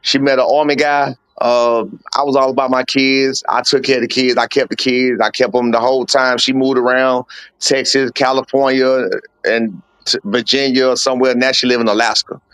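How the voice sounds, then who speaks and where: Casting note: forties-age man, coach type, candid and blunt, mid-pitched, dry close sound. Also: little pitch movement, moderate pace, fairly bright tone, clear, resonant tone, dry recording. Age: 40s. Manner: candid, blunt storytelling with slang and swearing. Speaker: boxing coach and father of a fighter. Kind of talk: casual podcast interview.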